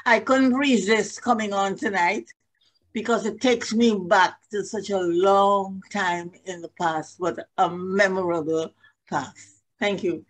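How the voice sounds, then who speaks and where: A woman's voice: mid-range voice, fairly even intonation, takes it slowly. An older woman from Guyana, an alumna, sharing memories in an earnest, composed way on a video call. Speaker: alumna guest. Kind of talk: brief reminiscence on a video call.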